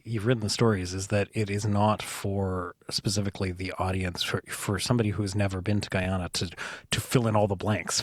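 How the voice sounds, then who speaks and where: A man's voice: fairly low pitch, dry recording, studio quality. A man hosting a radio interview, enthusiastic and often restarting his words as he asks questions. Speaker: radio host. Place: radio studio.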